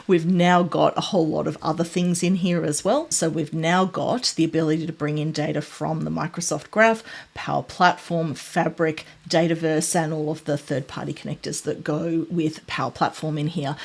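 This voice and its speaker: A woman's voice: low-pitched, creaky, slightly rough. A woman presenting tech news as a casual explainer, chatty, informal and enthusiastic. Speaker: tech presenter recapping announcements. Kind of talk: casual explainer commentary with demos.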